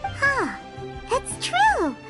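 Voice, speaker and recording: A woman voicing a tiny, childlike fairy companion in a video game, chirpy, pushy and quick to coax. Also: extremely high pitch, wildly swooping pitch, over loud music, full character voice. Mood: curious. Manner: chirpy, coaxing, exclamatory.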